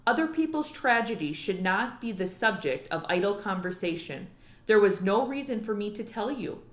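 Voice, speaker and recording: A woman voicing a reserved, gently stern preacher father with a measured, formal manner in a storybook read-aloud. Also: mid-range voice, expressive, roomy sound, close to an everyday voice. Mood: solemn.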